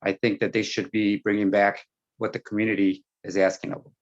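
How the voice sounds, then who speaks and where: A man whose first language is Spanish, a school board candidate speaking frankly in a panel Q&A with halting fillers. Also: lowish voice, little pitch movement. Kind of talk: panel Q&A answer. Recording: dull lo-fi sound.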